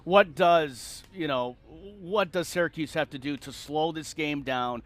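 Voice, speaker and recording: A man hosting a sports show and interviewing a guest, phrasing his questions in a slightly halting way. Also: on the high side.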